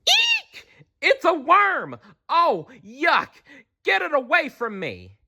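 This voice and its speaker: Man putting on a squeaky excitable schoolgirl. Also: slow pace, creaky, exaggerated voice. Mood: disgusted panic. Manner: shrieking, excitable.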